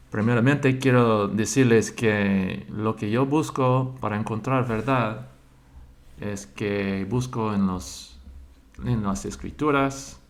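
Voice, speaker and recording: A man, a church member slowly sharing his religious thoughts and pausing to search for words in a language he learned later. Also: lowish voice, creaky. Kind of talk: slow personal religious monologue.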